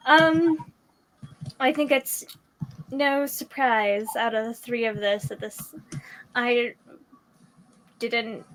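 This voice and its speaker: A woman's voice: a little high, fairly even intonation, slow, long pauses, a bit bright, rough recording. A woman in her early twenties in a casual book discussion, venting animatedly and saying "like" a lot.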